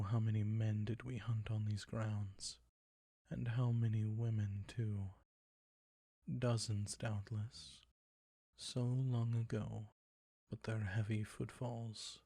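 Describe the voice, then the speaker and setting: Man playing a slow, husky, sinister vampire count. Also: fairly low pitch, mellow, no echo, clean studio sound, exaggerated voice. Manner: courtly, condescending, slow and savoring. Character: suave, predatory ancient vampire count, courtly and sinister. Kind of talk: roleplay audio drama, intimate ASMR acting.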